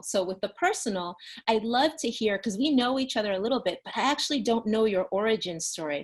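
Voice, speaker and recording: A woman moderating a panel discussion on a video call, prompting guests with gentle questions and effusive thanks. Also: mid-range voice.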